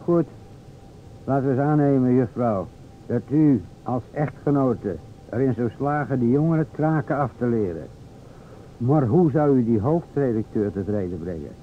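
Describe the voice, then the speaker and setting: An actor plays a male police inspector in a vintage radio drama. He is dry and probing, with a mid-pitched voice. The old recording sounds thin and slightly noisy. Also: lively intonation, normal speed, lightly acted. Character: police inspector calmly questioning a woman. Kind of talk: Dutch radio play acting. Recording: tinny old radio recording, faint noise.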